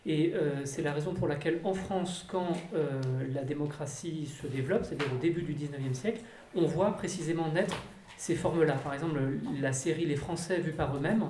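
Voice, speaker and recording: A panelist giving a measured, lecture-like answer at a round table, with a few fillers. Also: medium pitch, darkish tone, slight background noise. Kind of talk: round-table discussion, explanatory. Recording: echoey room, faint ambience.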